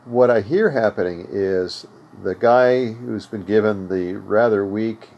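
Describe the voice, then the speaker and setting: Low-voiced older American man explaining informally. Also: unhurried, gravelly, a little mellow. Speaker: host of amateur radio tutorial series. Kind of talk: informal instructional talk. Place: home radio room.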